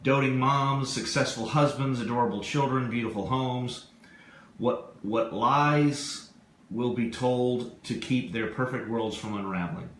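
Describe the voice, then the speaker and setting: A man's voice: medium pitch, unhurried, fairly bright tone. A man co-hosting a live webcast, reading out a review for the audience. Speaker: radio show co-host. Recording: roomy echo, faint background noise.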